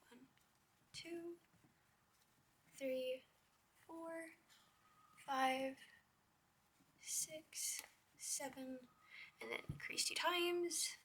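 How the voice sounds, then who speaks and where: A young woman with a hoarse, raspy voice hosts a casual crochet tutorial. She chats with a lot of "like" and counts her stitches in a soft whisper. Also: high-pitched, drawn-out words, pauses often, a little hiss or hum. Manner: chatty, with lots of "like".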